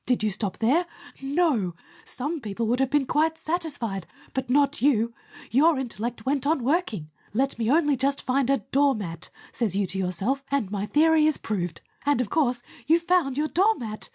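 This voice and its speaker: A woman's voice: high voice, expressive, normal speed, a little mellow, breathy edge, voice pushed up. A woman voicing a small, eager male mole who gushes praise in a breathless rush, in a storybook reading. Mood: gushing admiring. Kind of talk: audiobook character voice.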